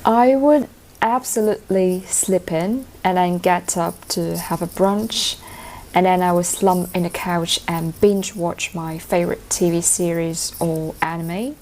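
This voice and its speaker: Low-voiced woman, cantonese first language, chatty informal english answers. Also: steady tone, a little slow, a little mellow. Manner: fluent, informal, uses slang. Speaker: IELTS speaking candidate. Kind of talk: answering interview questions.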